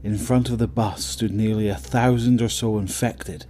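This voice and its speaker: A man narrating a horror audio drama from a script in a measured, suspenseful, dramatic way. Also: fairly low pitch, steady tone, dark tone, a little hiss or hum. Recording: voice over prominent music.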